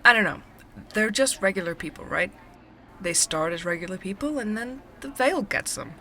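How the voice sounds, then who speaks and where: An actress playing a feisty, outspoken young barista in an audio drama, speaking in a casual, bantering way. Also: female voice, mid-range voice, expressive, normal speed, bright, forceful tone, a little hiss or hum. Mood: casual, musing. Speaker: head barista being interviewed.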